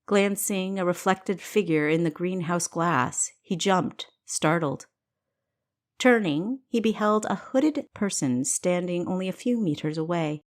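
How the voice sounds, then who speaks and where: A woman reading scripted fiction as the narrator of her own audiobook. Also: lowish voice.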